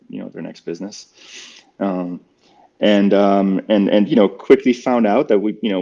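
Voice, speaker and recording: A man's voice: lowish voice, creaky, mellow, muffled recording. A man in his forties from Germany, a company cofounder answering interview questions in a reflective way, with many fillers and restarts.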